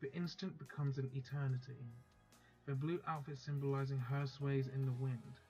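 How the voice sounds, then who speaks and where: A man's voice: medium pitch, little pitch movement, normal speed, mellow, dull audio, faint music behind. A man hosting a let's play, chatting casually and then reading a visual novel's text aloud.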